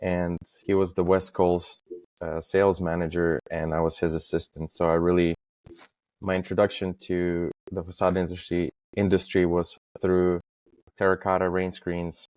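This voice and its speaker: A man in his thirties from Estonia, talking as a podcast guest in a halting way, with many fillers and restarts. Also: low voice, little pitch movement, darkish tone, no room sound. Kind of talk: casual interview talk.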